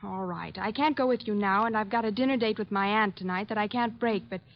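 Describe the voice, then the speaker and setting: An actress playing an evasive, defensive young secretary in an old radio detective drama, indignant and flustered. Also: female voice, mid-range voice, brisk, close to an everyday voice. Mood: reluctant. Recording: dull, band-limited vintage radio recording.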